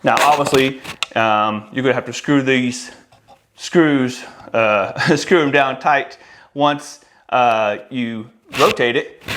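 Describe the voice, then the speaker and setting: A man's voice: mid-range voice, fairly bright tone. Male installer-presenter, forties, mid-range voice, informal and explanatory, lapel mic indoors with slight background noise. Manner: informal, explanatory, addresses viewers as guys. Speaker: audio installer presenting a product. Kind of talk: casual product walkthrough. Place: small room indoors, home office. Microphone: lavalier clipped on shirt.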